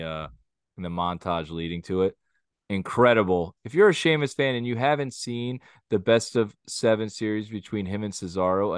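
A man with a fairly low voice talks in loose, rambling podcast chat, blunt and opinionated, with crude jabs now and then. His voice sounds close and dry, with no room around it. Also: clean tone, no echo. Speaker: podcast co-host. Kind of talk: casual wrestling podcast chat.

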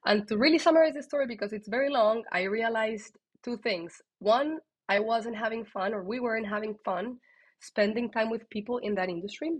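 A woman with a strong Colombian Spanish accent, a podcast guest telling her story in a lively, candid way. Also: fairly high pitch, a little fast. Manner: lively and candid, with frequent fillers and restarts. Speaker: guest, tech executive.

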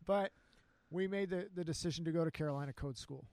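A man, telling his story as an interview guest in an earnest, unhurried way. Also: on the high side, darkish tone. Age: 50s. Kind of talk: casual interview chat.

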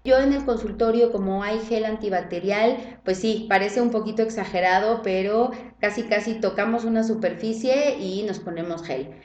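A woman gynecologist talks her listeners through clinic routines in a friendly, explanatory way. Her voice sits at a middle pitch, and the recording has a roomy echo around it. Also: moderate pace, few pauses, darkish tone, lo-fi sound. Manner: friendly explanatory, tag questions. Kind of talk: casual podcast conversation. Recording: roomy sound.

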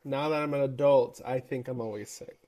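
Deadpan young man co-host. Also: mid-range voice, fairly quick. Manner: dry, deadpan joking, drawn out. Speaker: podcast co-host. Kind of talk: casual chat.

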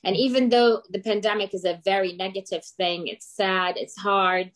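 A woman's voice: mid-range voice, fairly even intonation, moderate pace, rough recording. A middle-aged Lebanese woman who grew up in Greece, talking casually as an interview guest. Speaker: guest, children's book author.

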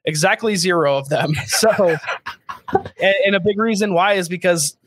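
A man's voice: high-pitched, moderate pace, a bit bright, a little hiss or hum. An American man in his late twenties, a podcast guest and startup founder, talking casually and with self-deprecating humor, full of fillers and restarts. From United States. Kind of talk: casual interview talk.

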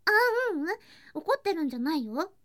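A woman playing a sweet, clingy younger girl cousin in an ASMR voice drama, soft and breathy, often whispering, with a cutesy, affectionate manner. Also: sits high, animated, fast talker, no room sound, studio quality. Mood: cheerful, affectionate. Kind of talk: Japanese ASMR situation voice drama. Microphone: close ASMR microphone.

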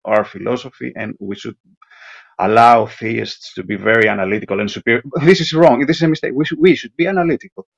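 A man with a creaky, raspy, lowish voice delivers a pedantic monologue as a voicemail caller. He stumbles and repeats himself as he lectures. The sound is dull and lo-fi. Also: no echo. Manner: halting, repetitive, pedantic. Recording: dry, muffled lo-fi voicemail.

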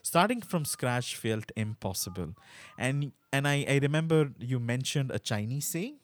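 A man's voice: medium pitch, lively intonation, moderate pace. A man hosting a podcast, talking conversationally to listeners and guests in an emphatic way. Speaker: podcast host.

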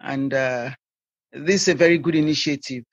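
Dull-sounding recording of halting older woman. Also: extremely low pitch, fairly even intonation, no echo. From Sierra Leone. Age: 60s. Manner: halting, hesitant. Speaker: podcast guest. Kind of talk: personal storytelling in an interview. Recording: dull lo-fi audio.

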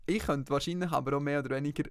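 A man's voice: fairly high pitch, fairly even intonation, rapid-fire, no room sound, studio quality. A young man from Switzerland with a Swiss German accent, chatting casually and jokingly as a podcast co-host. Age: young adult. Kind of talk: casual chat.

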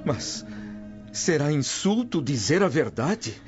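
An actor playing a serene, dignified freed slave and father in a radio drama, with measured, formal speech. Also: fairly high pitch, sing-song. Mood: calm, dignified. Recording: dull lo-fi radio drama, music underneath. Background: soft music.

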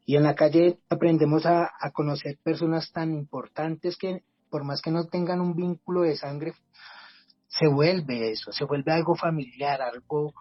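Husky Colombian man, earnest and reflective. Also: fairly high pitch, steady tone, a little slow, dry recording, dull audio. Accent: Bogotá Colombian Spanish. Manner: earnest, reflective, with many restarts and repeated words. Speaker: guest, community project leader. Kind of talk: personal testimony in a podcast conversation.

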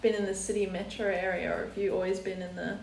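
A woman's voice: mid-range voice, level tone, quick pace, vocal fry, darkish tone. A woman co-hosting a podcast, chatty and encouraging, asking follow-up questions. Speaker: podcast co-host. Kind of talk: casual interview chat. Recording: echoey room with faint noise.

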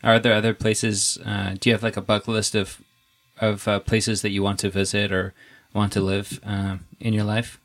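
A young man with a low voice and a gravelly, creaky edge leads a casual interview. He talks in a relaxed, easygoing way, restarting sentences as he thinks. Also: normal speed.

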